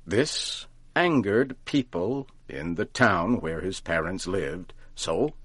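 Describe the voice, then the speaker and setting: A man narrating a folk tale from a script, deliberately and with careful enunciation, as if for learners. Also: deep voice, dry recording. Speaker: storyteller, narrator.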